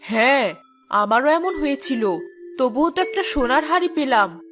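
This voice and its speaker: উঁচু গলার অহংকারী লোভী বড় বোন. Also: female voice, high voice, lively intonation, moderate pace, fairly bright tone, faint music behind. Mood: bossy, boastful. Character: boastful, greedy eldest village sister. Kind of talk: children's cartoon dubbing.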